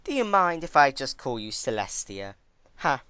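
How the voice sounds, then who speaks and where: A man's voice: medium pitch, big pitch swings, moderate pace, pushed and bright, clean tone, no room sound, voice pushed up. A man voicing a cruel female pony villain who taunts with mock sweetness in a fan-fiction story. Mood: gloating.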